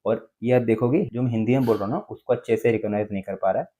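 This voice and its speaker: A man with an Indian accent walks through phone features in a casual, instructional way. His voice sits a little low and has a soft, mellow tone. He talks quickly and keeps checking in with small tag words as he explains each step. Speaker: tech tutorial presenter.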